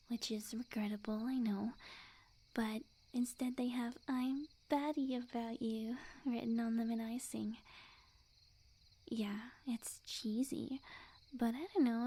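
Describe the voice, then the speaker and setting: Soft, whispery, sweet yandere witch played by a woman. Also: a little high. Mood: coy, bashful. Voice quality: soft, breathy, often whispered. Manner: coy, unhurried, intimate sweet-talk. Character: sweet, obsessive yandere witch girlfriend, playfully menacing. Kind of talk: ASMR roleplay, soft whispery acting.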